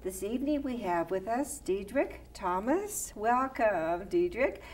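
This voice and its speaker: A woman's voice: mid-range voice, big pitch swings, a little slow, a little mellow. A woman hosting a studio interview show, welcoming a guest and giving friendly, encouraging prompts into a lapel mic. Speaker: talk show host. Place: TV studio set, indoors.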